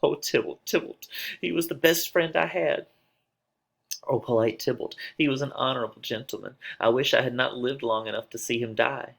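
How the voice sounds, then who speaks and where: A man's voice: medium pitch, normal speed, vocal fry, a bit bright. A man voicing a distraught older female nurse who wails and laments in a dramatic reading of a tragedy. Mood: grieving. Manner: wailing, repetitive lamenting.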